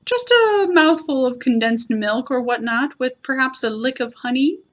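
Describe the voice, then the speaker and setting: A woman voicing a humble, dreamy male bear in a storybook reading, simple and gently earnest. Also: high voice, expressive, moderate pace. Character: humble, dreamy, slow-witted male bear who loves honey.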